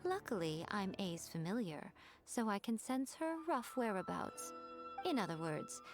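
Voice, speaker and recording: An actress voicing a sly, poised shrine priestess with a teasing, arch manner in a video game cutscene. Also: female voice, mid-range voice, very animated, a little slow, music in the background. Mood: amused.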